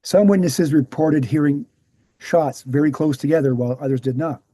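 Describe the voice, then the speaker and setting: A man playing an earnest, weary veteran researcher explaining things in a measured way at a screenplay table read. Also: a little high, dark tone, no echo, lightly acted. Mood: explanatory.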